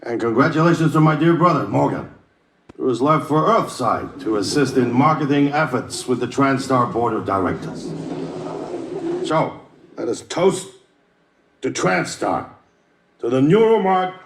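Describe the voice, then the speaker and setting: An actor playing a proud, polished executive addressing his staff in a formal, measured speech for a video game. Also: medium pitch, animated, vocal fry, background noise. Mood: proud, congratulatory. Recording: echoey large room.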